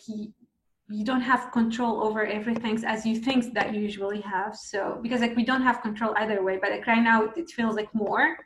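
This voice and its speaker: A woman's voice: on the high side, roomy sound. A woman from Syria, talking casually about lessons she has learned, with many fillers. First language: Arabic. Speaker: speaker. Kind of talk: casual talk sharing lessons.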